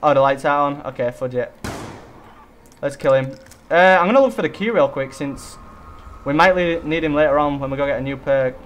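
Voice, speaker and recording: A young man hosting a casual co-op zombie game session, excitable and chatty, bursting into loud hype over his weapon. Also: mid-range voice, fairly quick. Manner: excitable, hypes gun, swears mildly. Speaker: gaming channel host. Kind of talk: casual co-op gameplay chat.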